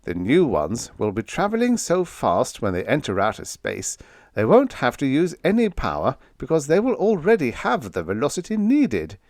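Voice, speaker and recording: Rocket company chief, played by a male reader: persuasive, rallying, lively pitch movement, sci-fi audiobook. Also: moderate pace, no room sound, clean studio sound. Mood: confident, rallying. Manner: explanatory, rallying speechmaking. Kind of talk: audiobook character dialogue.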